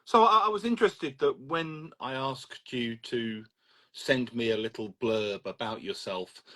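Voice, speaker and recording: A man hosting an interview on a video call, asking gentle, hedging questions full of fillers. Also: mid-range voice, unhurried. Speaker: host, English teacher. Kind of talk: interview host.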